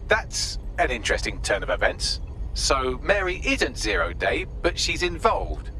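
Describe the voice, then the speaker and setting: An actor voicing a witty, smug artificial intelligence assistant in a video game, wry and flippant. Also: male voice, on the high side, wildly swooping pitch, a little slow, bright, forceful tone, a little hiss or hum, exaggerated voice. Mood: wry, intrigued.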